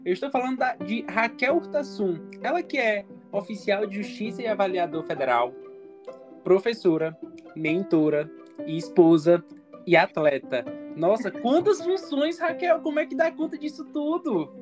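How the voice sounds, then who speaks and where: An upbeat woman hosting a podcast interview, her voice swooping with lively intonation. She asks friendly, exclamatory questions while guitar music plays clearly behind her. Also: mid-range voice, moderate pace. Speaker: podcast host.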